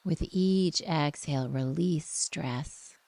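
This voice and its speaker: A woman with a soft, breathy voice gently leading a guided relaxation meditation in a soothing, unhurried manner. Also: low-pitched, dry recording.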